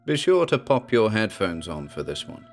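A man's voice: fairly low pitch, sing-song, moderate pace, faint music behind. A man reading a scripted introduction as host of a horror audio drama channel.

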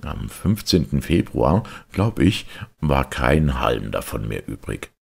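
A man reading a literary audiobook as a narrator, with measured, dramatic storytelling delivery. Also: rumbling low, sing-song, gravelly, a little mellow, breathy edge. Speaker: audiobook reader.

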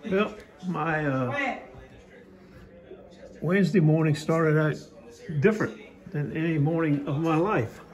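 A man with a drawl narrates his day into a handheld phone, offhand and rambling. His voice sits a little high for a man, and he talks in a plain, folksy way. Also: slow pace, long pauses. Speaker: knife sharpener vlogger. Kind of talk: casual vlog narration. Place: outdoor farmers market parking lot. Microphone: phone camera held by speaker.

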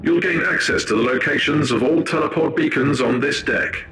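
A man's voice: a little high, normal speed, reverberant, background noise, close to an everyday voice. A man voicing a smug, calculating tech priest advisor in a video game, speaking with pedantic, condescending precision. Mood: precise, instructive.

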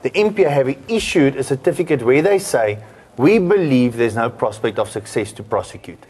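A man's voice: medium pitch, expressive, a little fast, a little mellow, breathy edge. A middle-aged man with a South African accent, explaining points as a legal commentator in a studio panel discussion.

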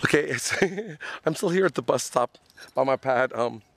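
A man vlogging from a phone held at arm's length while waiting for a bus and riding a train, rambling and chatty with lots of ums, slightly hoarse from losing his voice. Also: mid-range voice, animated, moderate pace, a bit bright. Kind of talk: casual self-filmed travel vlog. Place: outdoors at a bus stop, then on a bus and a train. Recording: faint vehicle and street noise behind.

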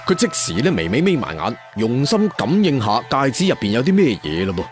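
Male storyteller, slightly high and very animated, chatty dramatic fantasy narration over music. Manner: chatty storyteller asides, drawn-out particles. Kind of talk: colloquial dramatic scripted narration of a fantasy tale. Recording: music behind the voice. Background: background music.

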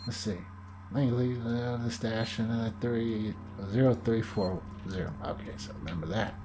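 A man's voice: medium pitch, steady tone, moderate pace, over music. A man giving casual video game commentary, muttering asides to himself as he plays. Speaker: video game player, host. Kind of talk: casual let's play commentary. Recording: noisy home recording over game sound.

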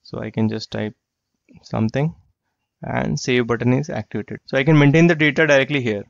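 A man walking through a software tool in a screen-share tutorial, explaining plainly and repeating himself. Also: medium pitch, brisk, vocal fry, dry recording. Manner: plain explanatory, repeats phrases like "you get the idea". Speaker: software trainer. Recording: dry, slightly dull lo-fi voice track.

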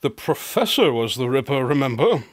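An actor playing a detective who speaks in a calm, precise way. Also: male voice, medium pitch, moderate pace, no echo, studio quality. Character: Sherlock Holmes's grandson, a cool detective.

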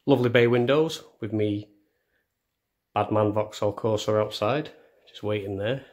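A man's voice: lowish voice, steady tone, a little slow, a little mellow. A young man giving a casual house tour, chatty and self-deprecating, as he films on a handheld phone. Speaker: vlogger. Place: indoors, walking through rooms of a house. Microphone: handheld phone camera.

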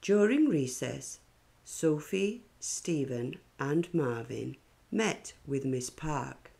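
A woman reading a children's picture book aloud in a deliberate, expressive storytelling style. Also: sits low, creaky.

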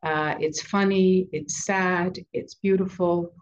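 A woman's voice: on the low side, steady tone, takes it slowly. Older woman with a cold, hesitant. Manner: hesitant, many ums and restarts. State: has a cold, deeper voice. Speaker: playwright guest. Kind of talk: video call interview. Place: home study with bookshelves. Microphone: video call. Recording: dull lo-fi call audio, faint noise.

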